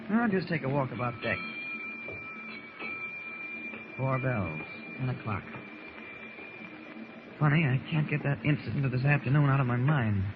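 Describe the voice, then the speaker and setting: An actor playing a calm, kindly educated man, measured and courteous, in old radio drama style. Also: mid-range voice, lightly acted. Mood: thoughtful. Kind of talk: 1940s radio drama acting. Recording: dull, noisy old radio recording.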